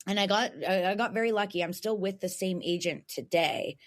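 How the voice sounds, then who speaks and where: Young woman, raspy voice, talkative podcast guest, frequent laughs. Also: mid-range voice, fairly quick. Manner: chatty, laughs often, restarts. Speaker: guest voice actor. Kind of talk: casual podcast chat.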